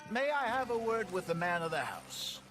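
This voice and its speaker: An actor playing a smooth-talking male outlaw who bargains in a sly, genial drawl in a video game cutscene. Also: high voice, big pitch swings, normal speed, music in the background.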